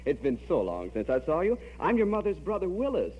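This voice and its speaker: An actor playing a charming, long-absent uncle in an old radio comedy, easygoing and amused. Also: male voice, fairly high pitch, wildly swooping pitch, fairly quick, slightly rough, heavily acted. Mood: amused, friendly. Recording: muffled old radio recording.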